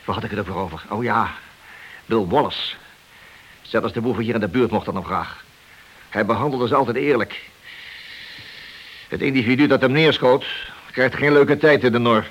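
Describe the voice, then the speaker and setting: An actor playing a wily senior police detective in a radio play, leisurely and needling behind a friendly front. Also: male voice, medium pitch, normal speed, pauses often, a bit bright, lightly acted, low-quality recording. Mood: quietly menacing. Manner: slyly affable, leisurely, needling. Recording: hissy old radio play recording.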